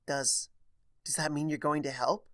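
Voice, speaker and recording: A man's voice: on the high side, little pitch movement, a little slow, a bit bright, no echo, clean studio sound, pitched above the performer's own voice. A man voicing an earnest, anxious young female pony in a fantasy story reading, with a hesitant manner.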